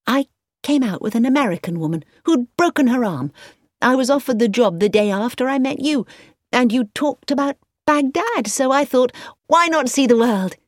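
A woman voicing a quick, playful young woman, with a lively delivery. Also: sits high, no room sound, clean studio sound, voice pushed up.

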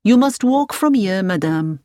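A woman's voice: mid-range voice, expressive, a little slow, warm tone, no room sound, clean studio sound, natural voice. A woman voicing an eager, proud and polite male driver in a storybook, in an enthusiastic, emphatic way.

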